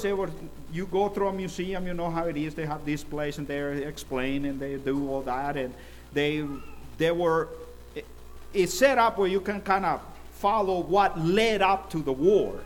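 A man's voice: fairly high pitch, a little hiss or hum, rough recording. Raised-voice Salvadoran-born male preacher. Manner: storytelling, emphatic swells in volume. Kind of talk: sermon.

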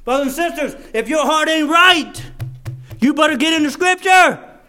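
A man preaching a fervent, folksy evangelical sermon, swinging between shouts and hushed appeals. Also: extremely high pitch, lively intonation, moderate pace, soft background music. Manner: folksy, plain-spoken grammar with rhetorical questions.

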